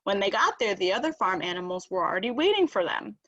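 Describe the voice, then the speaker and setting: A woman reading a children's storybook aloud as narrator, expressive and emphatic. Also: medium pitch, fast talker, muffled recording. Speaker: storybook reader.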